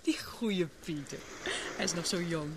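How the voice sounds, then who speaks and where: A woman's voice: on the low side, unhurried, background noise, natural voice. An actress playing a spirited, teasing, curious young woman in a Dutch radio drama.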